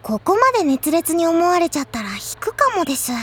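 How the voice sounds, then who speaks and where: An actress playing a bubbly, cheeky first-year high school girl with a perky, cutesy way of talking in an audio drama. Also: sing-song, brisk, a little hiss or hum. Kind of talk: anime-style audio drama acting.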